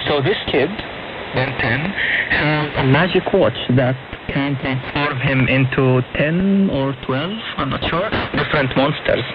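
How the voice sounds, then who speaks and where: A man's voice: mid-range voice, unhurried. A young man speaking non-native English in short phrases as an interview guest, recounting his own experiences over a shortwave radio broadcast. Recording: narrow, noisy shortwave radio reception. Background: clear radio static and noise.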